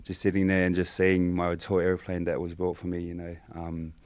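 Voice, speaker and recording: A Samoan-born man, a Samoan speaker, answering interview questions softly and hesitantly with many fillers. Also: deep voice, monotone, normal speed, dry recording. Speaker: guest.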